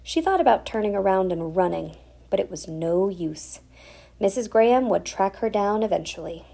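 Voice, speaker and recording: A woman reading a children's picture book aloud in an expressive, deliberate storytelling manner. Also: mid-range voice, gravelly.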